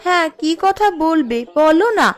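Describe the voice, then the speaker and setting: Woman doing a showy cartoon village wife, high voice, wheedling, music behind her. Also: animated, moderate pace. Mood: caring. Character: boastful, envy-stirring village housewife, sweet to her husband. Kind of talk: Bengali cartoon story dialogue.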